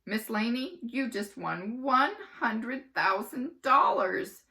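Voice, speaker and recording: A woman reading aloud and voicing a loud, excitable male TV game show host with booming showman patter. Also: slow, heavily acted. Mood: excited.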